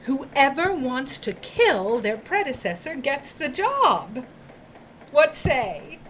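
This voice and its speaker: A theatrical, tyrannical lady boss, drawls with mock sweetness and swoops up and down. She is condescending and slow, in a dystopian radio play with slightly dull sound. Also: sits high, big pitch swings, a bit bright, slight background noise, heavily acted. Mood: gleeful, scheming. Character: domineering, theatrical, sadistic female boss of dystopian work crews. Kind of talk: theatrical audio drama acting.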